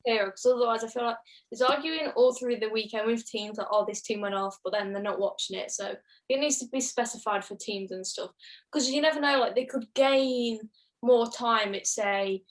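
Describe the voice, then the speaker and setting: A woman's voice: a little high, normal speed, rough recording. A young woman co-hosting a podcast in casual chat, peppering her sentences with "like". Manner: peppers speech with "like". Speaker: podcast co-host.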